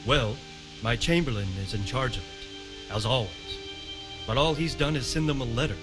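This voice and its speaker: A man playing a wary, troubled medieval lord in a video game, speaking with measured authority. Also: mid-range voice, music in the background, close to an everyday voice. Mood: cynical. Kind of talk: cinematic game dialogue.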